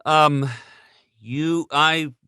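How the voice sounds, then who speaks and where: A man's voice: a little high, level tone, extremely slow, sharp tone, clean tone, no echo, studio quality. A man with an American accent asking questions as an interviewer in a conversational style.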